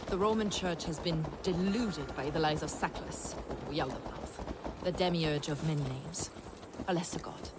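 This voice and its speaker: Devout heretic scholar lady, formal n preachy, video game scene, noisy ambience behind. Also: low-pitched. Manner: earnest, didactic, formal phrasing. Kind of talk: dramatic video game acting.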